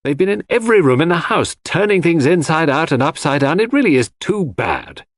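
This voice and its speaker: This is a narrator acting a blustering gentleman in a classic mystery audiobook. He is indignant and old-fashioned, with a husky voice that rises and falls widely. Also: fairly high pitch, very animated, brisk, no room sound, clean studio sound, pitched above the performer's own voice. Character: good-natured country gentleman, blustering when annoyed.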